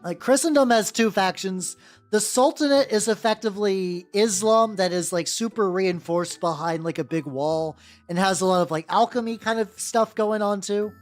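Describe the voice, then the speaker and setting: A woman's voice: mid-range voice, moderate pace. A woman streaming casually as a VTuber, chatting loosely and swearing freely while she paints miniatures and answers her viewers. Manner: rambling, chatty and profane, reacting to chat. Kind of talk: casual livestream chat. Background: faint background music.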